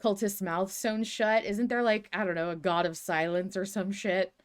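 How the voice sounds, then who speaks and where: A woman's voice: mid-range voice, steady tone, moderate pace. A woman hosting a podcast, talking through ideas in a casual, musing fan discussion. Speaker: podcast host.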